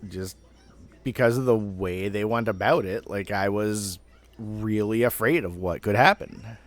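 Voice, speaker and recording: A man in his thirties telling a personal story casually, in a rambling, halting way with some swearing. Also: fairly low pitch. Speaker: amateur storyteller.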